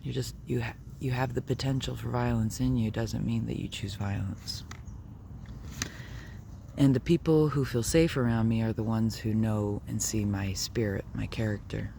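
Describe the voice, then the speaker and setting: A man with a low, gravelly voice muses aloud as he walks his dogs around the block. He talks in a soft, rambling way and now and then turns to speak to the dogs. The phone recording carries a lot of outdoor noise. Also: steady tone, normal speed. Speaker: video blogger. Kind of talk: rambling walk-and-talk vlog. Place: outdoors on a neighborhood walk.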